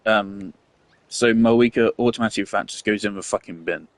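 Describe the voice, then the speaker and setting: A young man giving casual, opinionated game commentary, blunt and sweary, pausing as he thinks. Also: on the low side, no echo. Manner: blunt, sweary, hesitant phrasing. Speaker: game streamer.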